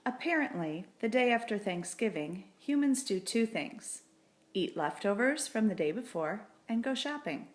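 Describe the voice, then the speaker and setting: A woman's voice: mid-range voice, expressive, moderate pace. A woman narrating a children's story from a script as an audiobook reader.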